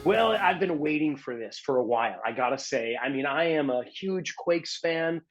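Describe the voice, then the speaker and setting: A man's voice: on the high side, lively intonation, normal speed, faint music behind. Male podcast host from New England, eager fan, conversational interviewer. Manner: enthusiastic, chatty.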